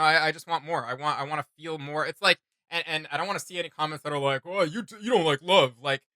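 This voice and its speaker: A man with a very bright, forceful voice, pitched a bit high. He hosts a casual reaction chat and gives his opinions bluntly and emphatically, in a dry, close recording. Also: clean tone, no echo. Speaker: reaction video host.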